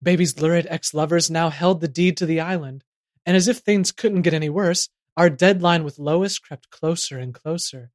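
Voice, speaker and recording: A man's voice: a little high, moderate pace, no echo, clean studio sound. A man narrating a scripted comedic audio drama in a theatrical manner. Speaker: narrator and performer.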